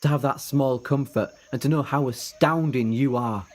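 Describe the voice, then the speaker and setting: A man's voice: medium pitch, moderate pace, a little mellow, natural voice. A man playing an eager, ageless time-travelling adventurer in an audio drama, earnest and persuasive with flippant asides. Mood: earnest, consoling. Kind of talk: fan audio drama acting.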